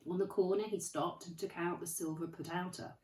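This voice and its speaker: Woman teacher, expressive read-aloud, warm lowish tone; distant, echoey recording. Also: brisk. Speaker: primary school teacher. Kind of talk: reading a storybook aloud. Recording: roomy, from off the mic.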